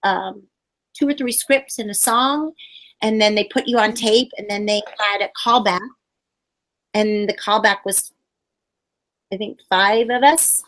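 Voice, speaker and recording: A middle-aged woman puppeteer telling stories in a casual interview, bubbly and animated, acting out quotes in high voices. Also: measured pace, pauses often, rough recording.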